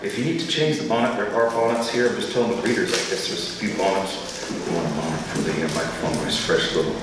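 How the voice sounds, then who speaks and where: A man's voice: on the low side, fast talker. A man informally hosting a live literary reading and introducing the readers. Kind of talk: informal live event emceeing. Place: live reading venue, indoors. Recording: echoey hall, distant mic, clear noise.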